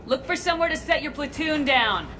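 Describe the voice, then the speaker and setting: A woman's voice: high voice, expressive, fairly quick, pushed and bright, slight background noise. An actress playing a firm, clipped female commander. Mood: commanding. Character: hard-edged female human commander. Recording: game score behind.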